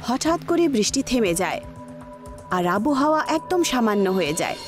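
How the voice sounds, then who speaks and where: Female horror-story narrator: dramatic scripted read, very animated intonation, clear music underneath. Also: on the high side, moderate pace.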